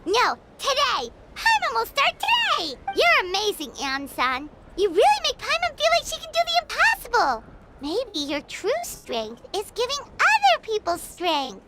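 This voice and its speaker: A woman voicing a tiny, eager, excitable fairy companion girl in a video game, talking in an exclamatory way. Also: very high-pitched, a little slow, very noisy, heavily acted. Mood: eager, admiring. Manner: refers to self in third person, exclamatory.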